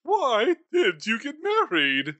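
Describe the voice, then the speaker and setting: A man's voice: very high-pitched, wildly swooping pitch, takes it slowly, pushed and bright, dry recording, exaggerated voice. A man voicing a bitter, miserly old male moneylender in a storybook reading, scornful and sarcastic.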